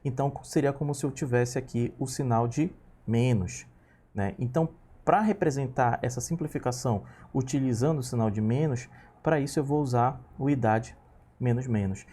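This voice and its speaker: A man's voice: medium pitch, normal speed, mellow, husky. A man in his thirties teaching a programming lesson, patiently walking through each step and checking in with his students. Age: 30s. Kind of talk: screen-recorded coding lesson.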